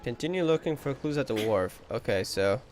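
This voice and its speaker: A man's voice: mid-range voice, lively intonation, brisk, darkish tone, soft background music. A man streaming a game playthrough, chatting casually with viewers and teasingly talking back to the characters.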